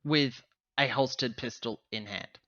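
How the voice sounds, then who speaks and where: Bright, forceful man playing a tabletop game. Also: medium pitch, measured pace, no echo, muffled recording. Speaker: tabletop roleplaying player. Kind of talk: casual in-character game talk.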